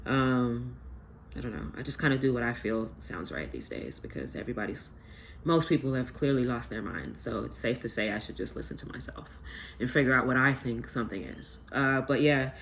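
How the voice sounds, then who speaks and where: A man's voice: medium pitch, expressive, a little fast, gravelly, a bit bright, a little hiss or hum, poor audio. Rambling stuffy-nosed man reading tarot. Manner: rambling, filler-heavy, earnest. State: stuffy from a sinus infection. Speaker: tarot reader. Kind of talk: casual collective tarot reading.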